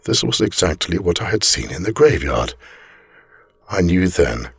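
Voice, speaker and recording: A man reading a scripted ghost story aloud as a storyteller, with measured, deliberate and theatrical phrasing. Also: low-pitched, sing-song, husky, dry recording, studio quality.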